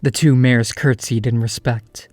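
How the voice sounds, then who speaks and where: A man's voice: mid-range voice, steady tone, a little slow, darkish tone, no echo. A man giving scripted storybook narration as the narrator of an audio drama.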